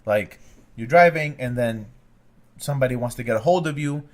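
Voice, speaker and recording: A man's voice: mid-range voice, moderate pace. A man of about thirty, co-hosting a podcast, teasing and chatting casually. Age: 30s. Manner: teasing, animated. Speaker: podcast co-host. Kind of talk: casual chat.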